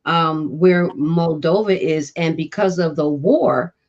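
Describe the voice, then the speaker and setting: A woman co-hosting a panel who asks questions haltingly. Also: low-pitched, darkish tone. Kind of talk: casual panel talk. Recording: dull lo-fi audio.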